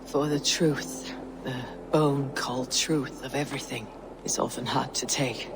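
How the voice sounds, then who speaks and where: A woman's voice: a bass voice, steady tone, unhurried, background noise. A woman playing a stoic, battle-hardened Viking warrior in a historical video game, terse, sombre and plain-spoken.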